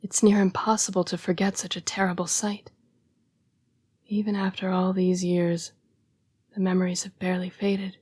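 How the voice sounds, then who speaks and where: A woman playing a remorseful, gentle young vampire in an intimate roleplay audio, speaking in a breathy, halting hush. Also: fairly low pitch, little pitch movement. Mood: haunted, sorrowful. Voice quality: breathy, whispery. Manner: hushed, halting, earnest and apologetic. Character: remorseful, gentle young female vampire, protective, fighting bloodlust. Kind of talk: roleplay audio acting, intimate dramatic monologue.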